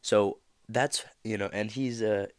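A man's voice: on the low side, fairly even intonation, a little slow, no echo. A man hosting a motivational podcast, talking in a casual, rambling way with many fillers. He is a little nasal from a slight cold. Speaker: podcast host.

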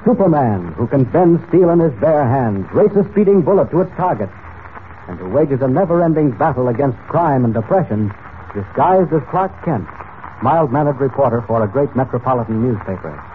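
A man's voice: medium pitch, expressive, moderate pace, slight background noise. Dramatic male old-time radio announcer, tinny. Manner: dramatic, declamatory. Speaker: announcer and narrator. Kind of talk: 1940s radio serial scripted narration.

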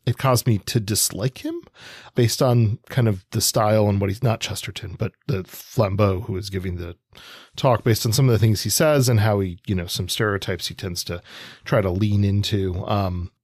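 A man co-hosting a podcast, discussing literature in a measured, analytical, conversational way. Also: fairly low pitch, a little mellow, slightly rough, dry recording, studio quality. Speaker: podcast co-host.

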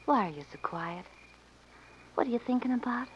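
An actress playing an anxious, affectionate young frontier sweetheart in an old-time radio drama. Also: female voice, on the high side, animated, moderate pace, close to an everyday voice. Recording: muffled, noisy old radio recording.

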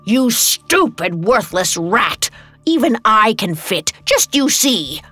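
A woman's voice: on the low side, lively intonation, a little slow, creaky, fairly bright tone, dry recording, soft background music, heavily acted. A woman voicing a cruel, impatient old witch in a storybook tale, menacing, scornful and demanding. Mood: scornful, angry.

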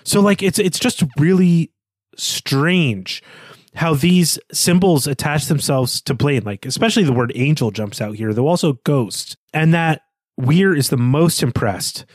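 A man's voice: a little high, unhurried, no echo. A man co-hosting a literary discussion podcast, talking in an articulate, analytical and conversational way. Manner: analytical, articulate, poses questions. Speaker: podcast co-host.

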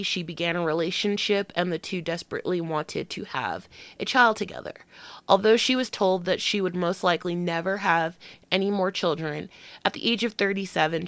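A woman's voice: on the low side, gravelly, a bit bright, no room sound. A woman hosting a true crime podcast with allergies and a slightly nasal voice, reading the case and slipping into casual, profane asides. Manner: reads the case then breaks into slangy, profane asides.

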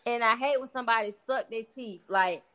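Blunt woman with a highish voice. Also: fairly quick, fairly bright tone. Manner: blunt, slangy, emphatic. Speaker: interview guest. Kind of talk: casual chat.

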